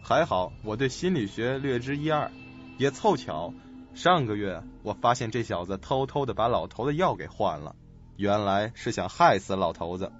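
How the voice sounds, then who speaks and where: A man's voice: fairly low pitch, expressive, normal speed, muffled recording, lightly acted. A man playing a scheming, calculating doctor with a sly manner in a suspense radio play. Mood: smug, scheming. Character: scheming, smug, suspicious male doctor. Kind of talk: audio suspense drama acting. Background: music.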